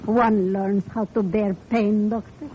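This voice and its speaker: Actress as serene blind restaurant owner, unhurried formal delivery, radio play, dull noisy old recording. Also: female voice, mid-range voice, level tone, natural voice. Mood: resigned, philosophical. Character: gracious, serene blind widow running a restaurant. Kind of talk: old radio drama acting.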